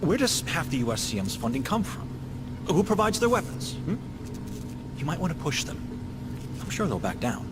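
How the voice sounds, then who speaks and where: A man's voice: fairly high pitch, big pitch swings, normal speed, long pauses, background noise. A man voicing a smug, droll scientist in a video game, scheming and self-satisfied, speaking in an arch, wordy, insinuating way. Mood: smug, needling. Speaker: scientist character.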